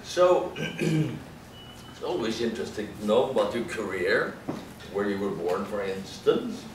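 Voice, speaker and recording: A man's voice: lowish voice, lively intonation, unhurried, recorded from a distance. A man with a foreign accent, not a native English speaker, chattily hosting an onstage concert interview in a noisy, roomy hall. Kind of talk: informal onstage interview before an audience.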